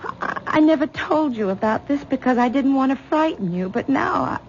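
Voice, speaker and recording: A nervous young wife, stuttery, highish voice, muffled old radio sound. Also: female voice, animated, normal speed. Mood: tense. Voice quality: stammering, nervous. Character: anxious, frightened young wife, stammering. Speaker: troubled wife. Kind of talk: 1970s radio drama acting. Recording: dull old radio broadcast with faint hiss.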